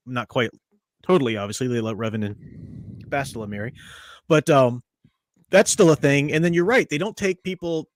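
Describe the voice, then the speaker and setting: A man hosting a casual review discussion, explaining in an animated way with some fillers. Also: medium pitch, dry recording, clean studio sound. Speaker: podcast host.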